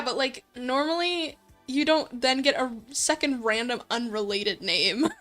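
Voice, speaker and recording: High, bright-voiced giggly woman livestreamer. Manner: giggly, gushing, slangy. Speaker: livestreamer, host. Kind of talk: casual livestream chat with viewers. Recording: background music behind voice. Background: light background music.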